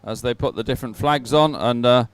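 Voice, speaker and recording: A man giving live sports commentary at a regatta in an informal, halting way with hesitant pauses. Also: mid-range voice, smooth.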